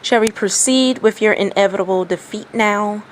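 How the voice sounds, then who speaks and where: A woman's voice: mid-range voice, moderate pace, slight background noise, pitched above the performer's own voice, close to an everyday voice, poor audio. A woman voicing an arrogant, sneering teenage boy ninja in a story reading, haughty in manner. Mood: contemptuous.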